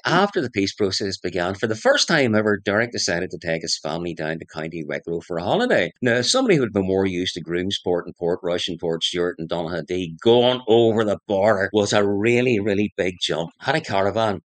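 A man's voice: deep voice, very animated, a little fast, hardly any pauses, no echo. A middle-aged man with a Northern Irish accent, telling anecdotes as an interview guest in a chatty, emphatic way. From Northern Ireland. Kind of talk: anecdotal storytelling in conversation.

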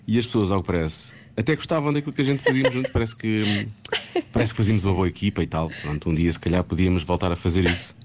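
A man's voice: deep voice, very fast, noisy background. A man with a European Portuguese accent leaving a teasing, rambling recorded message for a former co-host, laughing as he talks.

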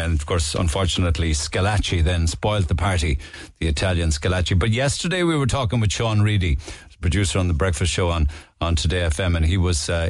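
A man's voice: low voice, no echo. A man with an Irish accent hosting a chatty radio show from a studio. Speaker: radio host. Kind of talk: casual radio chat.

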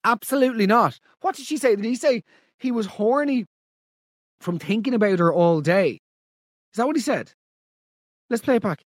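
An Irish man hosting a comedy podcast, reacting to listener stories in an animated, exaggerated, mock-outraged way. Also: high-pitched, moderate pace, pauses often, dry recording, clean studio sound. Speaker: podcast host.

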